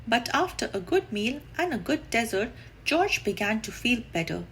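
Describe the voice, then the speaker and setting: A woman reading a children's story aloud, deliberately and expressively, with drawn-out emphasis. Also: on the high side, sing-song, noisy background.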